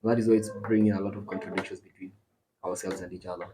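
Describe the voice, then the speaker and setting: A man's voice: deep voice, little pitch movement, quick pace, mellow, a little hiss or hum. Boastful Kenyan man, podcast host. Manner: boastful, joking. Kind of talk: casual podcast banter.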